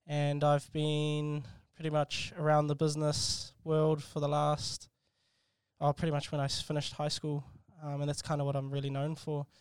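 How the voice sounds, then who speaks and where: NZ guy in his twenties, even delivery, smooth dry tone, soft-spoken guest. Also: fairly high pitch, moderate pace, no room sound. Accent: New Zealand. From New Zealand. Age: 20s. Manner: soft-spoken, hesitant, many restarts. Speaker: guest entrepreneur. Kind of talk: casual interview chat.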